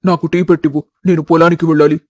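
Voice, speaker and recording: A man's voice: a little high, level tone, fast talker, a little mellow, no room sound, lightly acted. A man voicing a worn-out, troubled father-in-law with a weary manner in a village family story.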